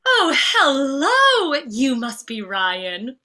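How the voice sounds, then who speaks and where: Woman playing high, bright, sugary Mrs. Claus. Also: huge pitch swings, extremely slow, heavily acted. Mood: gushing. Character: Mrs. Claus, old, sweetly cheery, nagging wife. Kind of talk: table read comedy acting.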